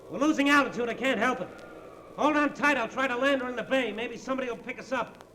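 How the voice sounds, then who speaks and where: A man's voice: extremely high pitch, fast. An actor playing a brave, practical American airman in a wartime radio play, on a noisy old disc recording. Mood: urgent, tense. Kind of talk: 1940s radio drama acting.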